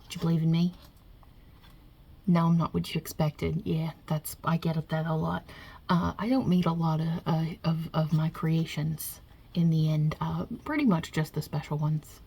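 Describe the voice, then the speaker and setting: A woman's voice: sits low, little pitch movement, moderate pace, gravelly, dark tone, breathy edge, full character voice. A woman playing a non-binary Italian mob boss God with a put-on New York Italian-American accent, wry and casual with muttered asides, in an ASMR roleplay.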